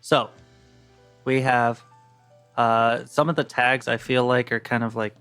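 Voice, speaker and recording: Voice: medium pitch, fairly even intonation, unhurried, a bit bright, clean tone, soft background music. A podcast co-host chatting about a roleplay game in a hesitant, filler-heavy way. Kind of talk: casual roleplay podcast discussion.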